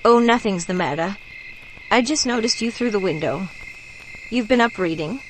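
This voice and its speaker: A somber bayou local played by a woman speaks in a grave, folksy, cautionary way, as in a horror radio play. Her pitch is middling, and crickets and frogs sound clearly around her in the night. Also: normal speed, natural voice, rough recording. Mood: guarded concern. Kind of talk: horror radio drama acting. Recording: clear background noise of night insects.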